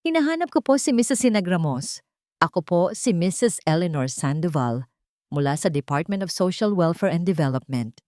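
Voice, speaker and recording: A woman's voice: on the low side, very animated, moderate pace, warm tone, no room sound. Woman voicing a formal, probing social worker, very dry sound. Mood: polite, formal. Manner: polite, formal, serious questioning. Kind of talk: audio drama storytelling.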